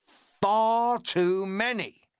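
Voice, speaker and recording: A man's voice: sits high, steady tone, extremely slow, smooth, no room sound, clean studio sound, voice pushed up. A man voicing a pompous, hectoring police inspector in an audiobook, talking down in jabbing phrases. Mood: lecturing. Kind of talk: audiobook character reading.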